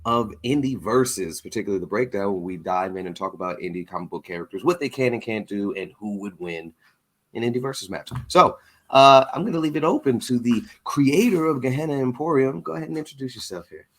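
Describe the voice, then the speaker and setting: A man hosting a comics interview show, talking informally and haltingly as he thinks his questions through aloud. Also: mid-range voice, lively intonation.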